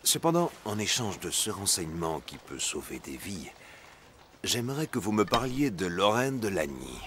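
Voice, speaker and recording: An actor playing an enigmatic, courteous older gentleman in a French cartoon, formal, measured and ominous. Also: deep voice, very animated, slight background noise. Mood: grave, urgent. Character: enigmatic older gentleman with a cane and a bad leg, grave, courteous. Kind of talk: French animated drama acting.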